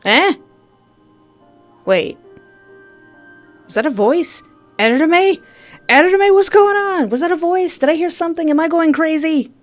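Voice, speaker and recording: A woman's voice: very high voice, animated, normal speed, long pauses, faint music behind. A woman hosting a gaming playthrough, reading a visual novel aloud with dramatic narration and playful commentary.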